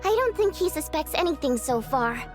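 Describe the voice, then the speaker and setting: A woman voicing a youthful, earnest and thoughtful female companion in a story game, explaining things in a measured way. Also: sits high, big pitch swings, music in the background. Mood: earnest, explanatory. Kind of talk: scripted game story dialogue.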